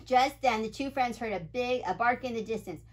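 A middle-aged woman reading a picture book aloud to children in an animated way, talking to them directly with questions. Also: fairly high pitch, normal speed. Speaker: children's story time reader and wildlife educator. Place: small indoor library room with bookshelves.

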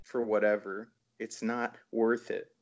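A man's voice: on the low side, level tone, a little slow, gravelly. A man from Toronto, a poet, reading aloud over a dull, lo-fi video call. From Toronto, Canada. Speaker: poet reading own work. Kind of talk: poetry reading.

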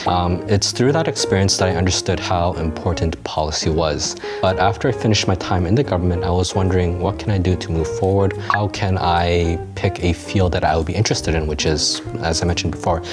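Man in his 30s from Canada for an interview. Low voice, measured and articulate, lapel mic, music bed underneath. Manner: articulate, measured, with occasional fillers. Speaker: graduate alumnus interviewee. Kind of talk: interview answers. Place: dim studio set with bookshelves. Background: soft background music.

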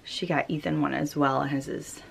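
A low-voiced American woman in her thirties chats in an informal, giggly way, like a hobby vlogger at home. Her talk is casual and unscripted. A faint noise sits behind her in the home recording. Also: steady tone, brisk, creaky. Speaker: knitting podcast host. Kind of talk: casual vlog chat. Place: small wood-panelled room at home.

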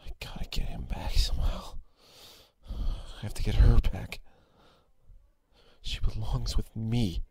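A man playing a quiet, obsessive, possessive schoolboy in an ASMR roleplay, halting and breathy. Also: on the low side, steady tone, exaggerated voice. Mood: dark, scheming. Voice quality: husky, breathy. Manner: halting, stammering, brooding. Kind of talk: ASMR roleplay, intimate acted monologue.

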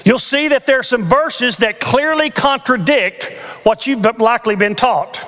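Older pastor guy, high bright voice, preaching in a big echoey church. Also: normal speed. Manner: earnest teaching with playful asides. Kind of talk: sermon. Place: large church stage with audience. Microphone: headset mic. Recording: long reverb of a large church hall.

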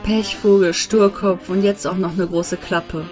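A woman playing a calm, gently sardonic mentor lawyer in an animated courtroom drama. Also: lowish voice, measured pace, natural voice. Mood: wry, advising. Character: composed, wry female mentor lawyer. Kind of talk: animated courtroom fan dub acting. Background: background music.

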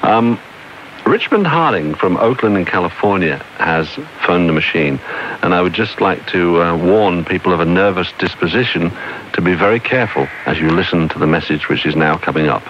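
Low-voiced British radio presenter, playful and teasing, off a dull, faintly hissing off-air tape. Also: male voice, lively intonation, normal speed. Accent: British English. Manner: jokey, teasing, bouncy. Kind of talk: radio request show presenting. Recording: muffled taped shortwave broadcast with faint hiss.

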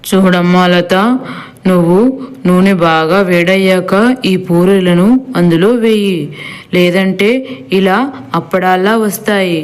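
A woman playing a practical village mother in a cartoon story, with a smooth voice, giving instructions. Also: lowish voice, flat delivery, measured pace, lightly acted. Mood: instructing. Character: practical village mother directing the family cooking. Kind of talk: scripted cartoon story dialogue.

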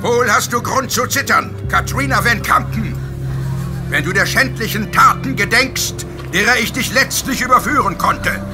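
A ruthless witch hunter in a horror radio play. He declaims with pompous, self-righteous zeal in a strikingly high-pitched male voice, with prominent music behind him. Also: normal speed. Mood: gloating. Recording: loud dramatic music behind the voice.

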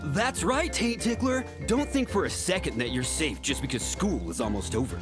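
A man's voice: on the high side, sing-song, moderate pace, over music. A man voicing a smug, taunting teenage boy bully in a comedy cartoon game. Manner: cocky, taunting. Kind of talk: animated comedy game acting.

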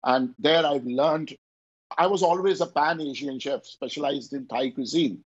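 Indian-accented man, slightly high voice. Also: breathy edge. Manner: earnest, searching for words, halting. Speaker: chef. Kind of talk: conversational interview answers. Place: indoor room at home. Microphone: video call. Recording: muffled video call audio.

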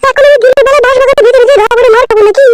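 A woman playing a sly, scolding young village wife in a comedy cartoon, with a shrill, wheedling manner. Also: fairly even intonation, a little mellow, dry recording, faint music behind. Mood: coy. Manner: shrill, wheedling, quick to snap back.

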